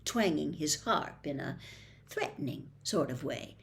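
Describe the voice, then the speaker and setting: A woman narrating a children's novel aloud, reading expressively and dropping to a hush in quiet moments. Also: deep voice, lively intonation, unhurried, vocal fry. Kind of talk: storybook read-aloud.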